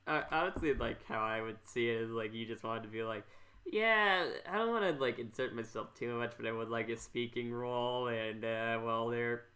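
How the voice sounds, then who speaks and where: Man chatting in a stumbling, mid-pitched voice. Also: normal speed, pushed and bright. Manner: stumbling, repeats his words. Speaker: podcast host. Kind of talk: casual interview chat.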